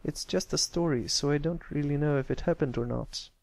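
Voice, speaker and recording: A man's voice: fairly high pitch, brisk, vocal fry, darkish tone, no echo, voice pushed up. A man voicing a shy young colt pony with a hesitant, stammering manner, in a storybook reading. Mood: bashful.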